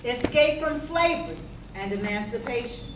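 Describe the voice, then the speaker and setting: A woman's voice: on the high side, lively intonation, moderate pace. A woman reading scripted narration for a live stage presentation, picked up from a distance in an echoey chapel. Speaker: readers theater narrator. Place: indoor chapel, live performance. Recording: echoey room, distant mic, clear background noise.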